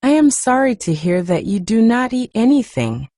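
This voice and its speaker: A woman voicing a grave, worried male doctor in a storybook, measured and formal. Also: a little high, very animated, warm tone, no room sound, studio quality, voice pushed up. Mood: grave, concerned.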